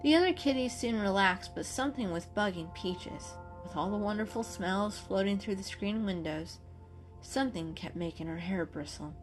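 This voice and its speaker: A woman's voice: mid-range voice, moderate pace. Woman reading a children's story over music.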